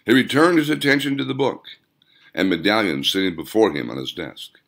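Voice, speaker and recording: Low-voiced man narrating with lively pitch. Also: fairly quick, vocal fry. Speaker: author reading own audiobook. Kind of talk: scripted audiobook narration.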